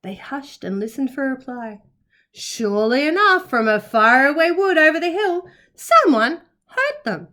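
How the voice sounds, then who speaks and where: High, animated woman reading a storybook. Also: normal speed. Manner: animated, carefully phrased storytelling. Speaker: children's storybook reader. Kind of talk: expressive picture-book narration for young children.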